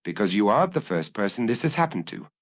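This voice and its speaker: Man reading as a deliberate, probing police chief. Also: fairly low pitch, sing-song, no room sound, studio quality. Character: calm, probing middle-aged male police chief. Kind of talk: acted audiobook dialogue.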